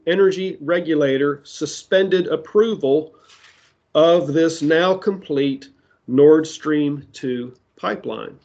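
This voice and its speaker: A man preaching a sermon that ties prophecy to current events, speaking deliberately with side remarks, his voice giving out. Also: on the high side, a little mellow, muffled recording. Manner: slow, deliberate delivery with asides. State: losing his voice.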